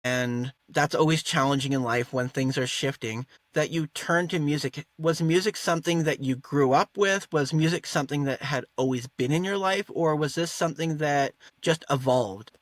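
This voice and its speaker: Encouraging male interviewer, higher voice. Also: normal speed, hardly any pauses, no echo. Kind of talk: interview questions.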